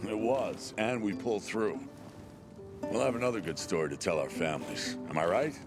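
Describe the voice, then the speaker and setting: An actor playing a protective, easygoing squad lieutenant in a video game cutscene, gruffly joking and swearing. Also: male voice, lowish voice, animated, normal speed, lightly acted. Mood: upbeat, encouraging. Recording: game music behind the voice.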